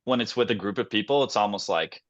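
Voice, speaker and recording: A young man with a lowish voice tells stories in an easygoing, rambling way on a podcast, full of fillers. The sound is dry and a little dull, as if from a remote call. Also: fast, dry recording. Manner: relaxed storyteller, many fillers and restarts. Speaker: podcast guest, actor. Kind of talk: casual podcast chat.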